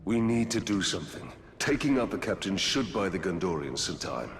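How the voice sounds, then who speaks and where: Low-voiced, grim ranger hero. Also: male voice, big pitch swings, a little fast. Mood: grim resolve. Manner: solemn, terse. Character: grim, haunted ranger hero, weary but resolute man. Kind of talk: dramatic fantasy game acting. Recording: loud cinematic music behind.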